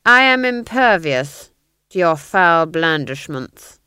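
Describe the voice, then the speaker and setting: A woman's voice: on the low side, sing-song, takes it slowly, sharp tone, clean tone, no room sound, clean studio sound, exaggerated voice. A woman voicing a pompous, gloating and unhinged Duke with grandiose, theatrical phrasing, in a fantasy audiobook.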